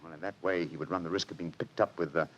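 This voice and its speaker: An actor playing a shrewd, self-assured gentleman detective in an old-time radio drama. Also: deep voice, steady tone, fast, lo-fi sound. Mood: deducing. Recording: noisy vintage radio recording.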